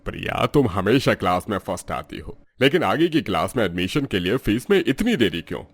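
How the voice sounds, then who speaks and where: A man playing a gentle, encouraging teacher in a story drama. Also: lowish voice, big pitch swings, a little fast, husky, no room sound, full character voice. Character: kind fatherly teacher.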